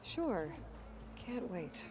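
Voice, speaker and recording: A woman's voice: fairly low pitch, very animated, very slow, background noise, heavily acted. An actress playing a determined young female hunter who speaks directly and dryly, in video game dialogue.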